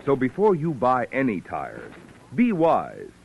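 A man's voice: mid-range voice, huge pitch swings, a little slow, gravelly, slightly rough, highs cut off. A man reading a sponsor message as an old-time radio announcer, emphatic and polished. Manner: emphatic, polished sales delivery. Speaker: commercial announcer. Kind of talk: 1940s-50s radio sponsor read.

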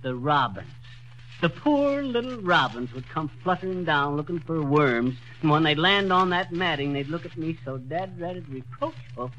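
An actor playing a boastful small-town husband who tells tall tales in a folksy drawl, in 1940s radio comedy style, on an old radio broadcast recording. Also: male voice, fairly high pitch, lively intonation, normal speed, pushed and bright, muffled recording. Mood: yarn-spinning. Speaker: comedy lead.

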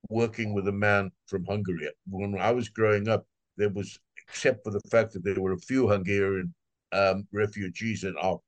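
An older, retired man speaking earnestly as an interview guest, in a measured, halting way. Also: on the low side, no echo. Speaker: translator, guest. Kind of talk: casual interview talk.

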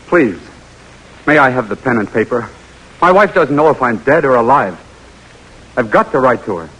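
An actor with an American accent playing an earnest, weary imprisoned army lieutenant in an old-time radio drama, speaking haltingly and intimately. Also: male voice, medium pitch, lively intonation, fairly bright tone, husky, poor audio. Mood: pleading, anxious. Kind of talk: 1940s radio drama acting, letter narration. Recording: vintage radio broadcast with music.

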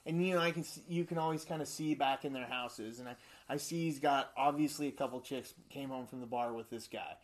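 A middle-aged man with a high-pitched voice, telling animated stories in a casual chat. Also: a little fast. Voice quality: high-pitched voice for his size. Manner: animated storyteller, acts out quotes with laughs.

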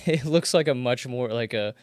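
A man's voice: medium pitch, a little mellow, no room sound, clean studio sound. A man with an Oklahoma accent, a comedian guest on a podcast, chatting casually and rambling with lots of fillers and restarts.

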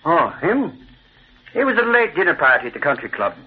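A loud, cocky newspaper tough guy in a vintage radio play. His high voice swoops excitedly through slangy, blustery lines. The recording is thin and tinny. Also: fairly quick, husky. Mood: matter-of-fact. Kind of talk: old-time radio drama acting.

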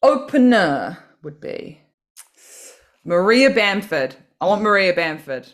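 A woman's voice: mid-range voice, big pitch swings, takes it slowly. A woman from New Zealand, a comedian and podcast guest, talking in a chatty, self-deprecating way and laughing often. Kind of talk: casual podcast interview.

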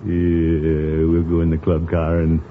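An actor playing a pompous, flirtatious middle-aged uncle and small-town official in classic radio comedy, blustering and chuckling fussily. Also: male voice, very deep voice, monotone, slow. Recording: noisy, narrow-band vintage radio recording.

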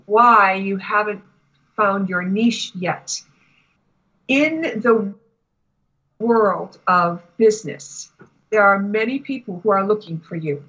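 A woman giving a spiritual reading to a client in halting, deliberate phrases with long pauses. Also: medium pitch, a bit bright, husky, dull audio. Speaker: Akashic Records reader, guest.

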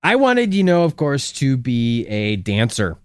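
A man co-hosting a comedy podcast, riffing absurd bits with a deadpan delivery. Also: mid-range voice, a little slow, clean tone, no echo. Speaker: podcast co-host. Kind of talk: improvised comedy podcast banter.

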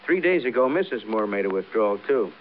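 An actor playing a brisk, needling American police detective sidekick, clipped and wry, in old-time radio crime drama. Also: male voice, on the low side, sing-song. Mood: brisk, informal. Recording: muffled, noisy vintage radio broadcast.

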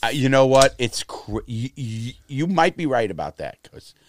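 Profane, animated man in his fifties. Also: mid-range voice, moderate pace, a little hiss or hum. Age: 50s. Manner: blunt, profane, animated storyteller. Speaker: interview guest. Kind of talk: casual interview storytelling.

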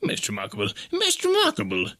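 A man's voice: lowish voice, huge pitch swings, brisk, sharp tone, heavily acted. A man voicing a pompous, absent-minded old professor in an audiobook story, fussy and pedantic, repeating himself. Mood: amazed muttering. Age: older.

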